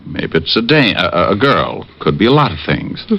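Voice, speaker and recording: A man playing a wry, world-weary but soft-hearted private detective with an American accent, in old-time radio drama style. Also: deep voice, sing-song, moderate pace, vocal fry, breathy edge, heavily acted. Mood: gentle, reassuring. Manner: flippant, teasing banter. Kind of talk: 1940s-50s radio detective acting and first-person narration. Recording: muffled vintage radio recording, music behind.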